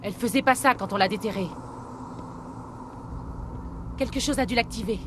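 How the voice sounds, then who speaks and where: A woman's voice: on the high side, very fast, lightly acted. An actress playing an alert, urgent female soldier in a video game. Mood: alert, wary. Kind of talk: dubbed video game cutscene acting. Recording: clear noise over the voice.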